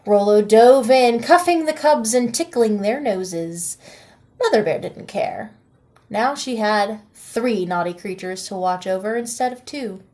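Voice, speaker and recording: A woman teacher reading a children's picture book aloud with slow, expressive storytime delivery. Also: mid-range voice.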